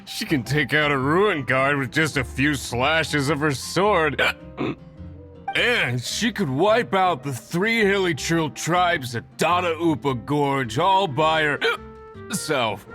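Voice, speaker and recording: A man's voice: fairly high pitch, slow pace, bright, forceful tone, soft background music. A man playing a cheerful, carefree, tipsy tavern patron in a video game, with a giggly manner. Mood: merry, admiring.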